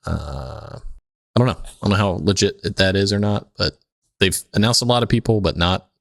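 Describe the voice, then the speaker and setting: A man with a low voice chats casually as a podcast host. He rambles through his stories with loose, salty phrasing. The sound is close and dry. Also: lively intonation, moderate pace, gravelly, a bit bright, no echo. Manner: rambling, profane, peppered with "like". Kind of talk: casual chat, anecdotal storytelling.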